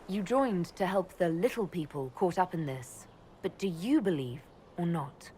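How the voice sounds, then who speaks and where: An actress playing a composed, dutiful elf mage leader in a video game, speaking in a measured, dry, questioning way. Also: female voice, on the low side, lively intonation, warm tone, slight background noise. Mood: probing, dry. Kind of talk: video game dialogue acting.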